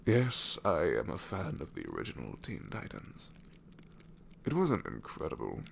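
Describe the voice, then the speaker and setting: A man voicing a sassy male shadow demon in an ASMR roleplay, snarky and rambling with soft whispered asides. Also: deep voice, wildly swooping pitch, moderate pace, gravelly, a little hiss or hum, exaggerated voice.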